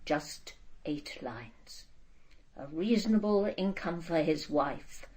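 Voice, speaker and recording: A woman's voice: low-pitched, expressive, takes it slowly, a little mellow. A woman playing a proud, cold elderly aristocrat in a radio drama, speaking with haughty, precise control. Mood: controlled, confiding.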